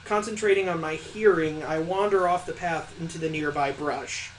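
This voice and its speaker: A high-pitched male let's player reads a visual novel aloud, dropping in sarcastic commentary as he goes. There is faint noise behind his voice. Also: moderate pace, low-quality recording. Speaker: video game let's player. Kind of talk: reading a visual novel aloud with joking asides.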